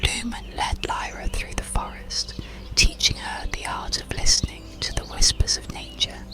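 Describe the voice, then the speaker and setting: A storyteller narrating an ASMR bedtime story in a soothing, deliberate whisper. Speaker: audiobook storyteller.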